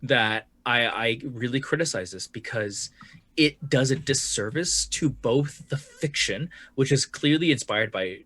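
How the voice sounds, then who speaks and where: Husky man with lively pitch. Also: a little slow. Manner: critical, emphatic, curses for emphasis. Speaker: podcast co-host. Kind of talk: casual panel discussion.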